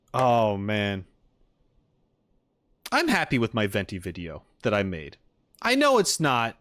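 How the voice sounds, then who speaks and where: A man streaming casually as he reacts to game videos, rambling and joking in an animated way. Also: mid-range voice, dry recording, clean studio sound. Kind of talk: casual livestream chat.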